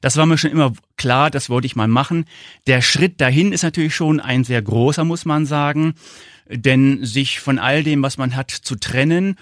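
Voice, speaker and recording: North german guy in his 50s explaining stuff in an interview, measured, medium pitch, no room echo. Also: clean studio sound. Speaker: interview guest, foundation founder. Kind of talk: conversational interview answers.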